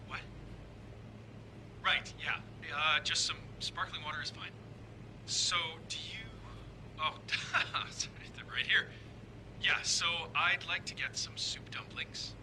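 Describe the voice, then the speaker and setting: An actor voicing a hungry, distracted man ordering at a restaurant, hesitant and full of fumbles. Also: on the high side. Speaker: game character. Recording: game audio with noisy ambience.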